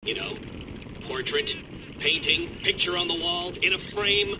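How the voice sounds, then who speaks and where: Manic high-pitched gloating villain. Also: male voice, flat delivery, measured pace, sharp tone, full character voice. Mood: condescending. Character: gloating egomaniac male villain, manic riddle-posing genius. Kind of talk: video game voice acting. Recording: muffled comms audio over noisy ambience.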